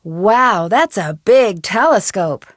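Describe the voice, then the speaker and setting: A woman's voice: medium pitch, lively intonation, slow, no room sound, studio quality. A woman voicing a polite, chipper teenage girl on errands in a video game. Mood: polite, cheerful. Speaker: player character delivering telegrams.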